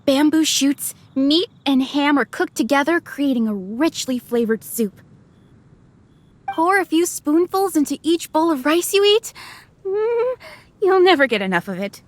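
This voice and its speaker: High, animated young waitress. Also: female voice, unhurried. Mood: proud, inviting. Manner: polite, presenting. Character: polite, eager young waitress presenting restaurant specialties. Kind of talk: video game voice acting.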